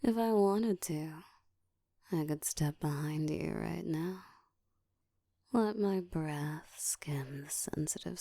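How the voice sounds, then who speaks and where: A woman playing a seductive, domineering ancient vampire in an intimate ASMR roleplay, speaking in a slow, breathy whisper with a teasing, commanding manner, close to the mic. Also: low voice, dark tone, no room sound, exaggerated voice. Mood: seductive, possessive. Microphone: close microphone.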